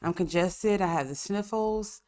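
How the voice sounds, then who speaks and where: A woman's voice: mid-range voice, measured pace, darkish tone. A woman hosting a podcast in casual chat, congested with a cough, telling stories with sassy, profane humor and frequent laughs. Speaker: podcast host.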